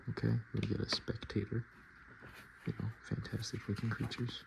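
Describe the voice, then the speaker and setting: A man softly murmuring and whispering in a casual, rambling ASMR style as he hosts his video. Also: fairly low pitch, monotone, normal speed, creaky, background noise. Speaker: ASMR video creator and host.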